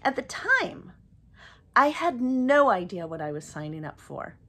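A woman in her fifties reading her own first-person story aloud expressively, with measured delivery and dramatic pauses. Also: a little high, big pitch swings, fairly bright tone. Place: small indoor room, plain wall.

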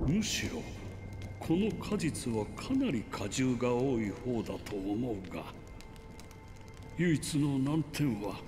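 An actor playing a courteous, philosophical, gently curious man in a Japanese video game, measured and theatrical in manner. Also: mid-range voice, long reverb tail.

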